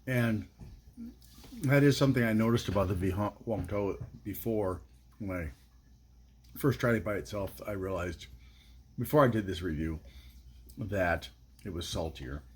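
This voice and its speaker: A middle-aged man reviewing food on his phone camera at home, talking in a dry, low-key musing way. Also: measured pace, pauses often, breathy edge. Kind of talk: casual taste-test vlog. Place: small indoor room at home. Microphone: phone camera at arm's length.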